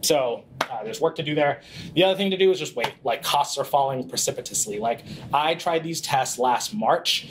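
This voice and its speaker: A man in his thirties giving a live presentation in a small conference room, talking casually with many fillers and quick self-corrections, into a handheld mic picked up by a distant camera. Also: medium pitch, fairly bright tone, slight background noise. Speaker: conference speaker. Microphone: handheld mic, far camera.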